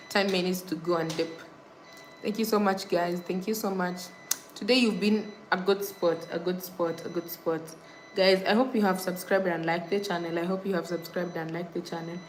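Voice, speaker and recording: A woman's voice: fairly low pitch, slight background noise, low-quality recording. A young woman from Kenya hosting a casual livestream, reading viewer comments and repeating thanks and subscribe reminders. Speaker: livestream host. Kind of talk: casual live-stream chat with viewers.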